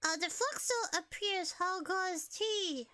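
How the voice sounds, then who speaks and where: Very high-pitched giggly Finnish woman. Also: slow, sharp tone. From Finland. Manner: giggly, chatty, stumbles over words. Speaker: streamer. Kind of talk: casual live-stream chat.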